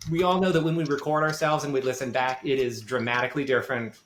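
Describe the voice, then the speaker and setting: A man giving informal, explanatory podcast advice in a light voice without much bass. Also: fairly even intonation, fast talker, fairly bright tone, slight background noise. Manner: informal, self-deprecating. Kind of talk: casual explanatory podcast talk. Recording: roomy, echoey sound.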